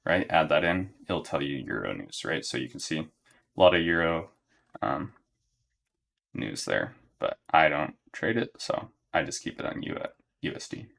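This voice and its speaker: A young man talks through his trades live for his chat, unhurried and monotone. His voice sits very low and carries a gravelly fry. He thinks aloud at a desk mic and checks in with a steady "right?". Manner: monotone, relaxed, low-key. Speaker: day trader streaming live. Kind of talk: live trading commentary to chat.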